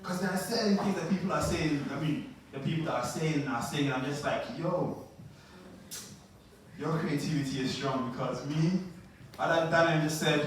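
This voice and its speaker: Laid-back man hosting a live event. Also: on the high side. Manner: laid-back, chatty, full of fillers. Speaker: event host. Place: large indoor event hall. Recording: echoey hall, distant mic, noise.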